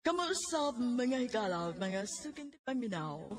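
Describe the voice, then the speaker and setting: A woman's voice: a little high, sing-song, measured pace, heavy background noise. A woman with a Filipino Cebuano accent doing scripted announcing for a radio drama.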